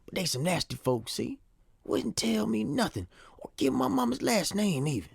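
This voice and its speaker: A man playing an old, teasing former slave and musician in an audio drama, with a husky, gravelly drawl. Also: high-pitched, big pitch swings, normal speed, no echo, studio quality, exaggerated voice. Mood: reflective, matter-of-fact. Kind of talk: nostalgic audio drama acting.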